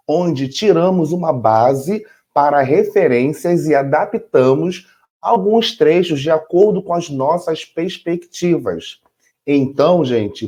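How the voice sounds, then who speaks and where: A man's voice: fairly high pitch, a little mellow. A man with a Brazilian Portuguese accent co-hosting a casual chat, playful and laughing easily with his partner. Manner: playful, laughs often, addresses "gente". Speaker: co-host. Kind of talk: casual chat with partly read script.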